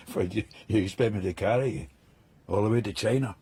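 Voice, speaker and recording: An actor playing a bitter, mocking, drinking outcast in a radio drama, husky and sardonic. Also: male voice, lowish voice, a little fast, warm tone. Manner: sardonic, brooding.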